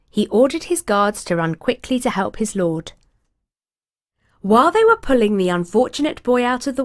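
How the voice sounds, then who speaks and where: Woman reading a fairy tale with lively lilt. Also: fairly high pitch. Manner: expressive, storybook cadence. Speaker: storyteller. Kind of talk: fairy tale read aloud.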